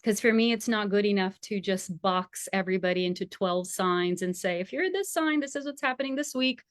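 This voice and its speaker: A woman astrologer speaking in a conversational interview, enthusiastic and animated, laughing often as she talks. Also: medium pitch, brisk, no echo, clean studio sound. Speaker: astrologer and school founder.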